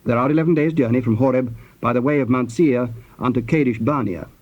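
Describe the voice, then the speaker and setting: A man's voice: medium pitch, a little fast, natural voice. A man narrating scripture formally, as part of a dramatized reading recorded on old cassette tape. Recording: dull, lo-fi cassette transfer.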